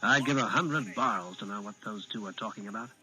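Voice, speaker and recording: A hardened assassin speaking low and wary, as a man reads him. He keeps his voice dry and guarded in this spoken storybook. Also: fairly quick, sharp tone, faint music behind, rough recording. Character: Hugh, a grim, hardened assassin.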